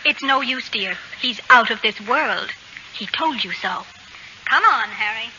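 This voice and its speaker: A woman's voice: on the high side, expressive, unhurried. A woman playing a polite, flustered suburban wife and mother in a 1940s radio comedy. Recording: dull, hissy old radio broadcast.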